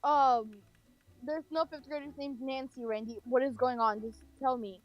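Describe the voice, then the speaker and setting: A woman's voice: high voice, fairly even intonation, moderate pace, muffled recording, voice pushed down, close to an everyday voice. A woman voicing a puzzled, firm schoolteacher who asks probing questions in a casual told story. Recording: music behind the voice.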